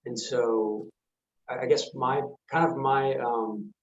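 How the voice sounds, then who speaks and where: A man answering as an actor in a casual online Q&A, halting and hesitant as he talks. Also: medium pitch, steady tone. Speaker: actor on a panel. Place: remote video call. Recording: dull, lo-fi video call audio.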